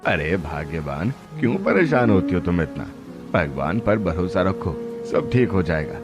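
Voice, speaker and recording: A man's voice: sits low, sing-song, measured pace, over music. A man playing a patient, hopeful poor village farmer who reassures his wife in a storybook tale. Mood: soothing, hopeful.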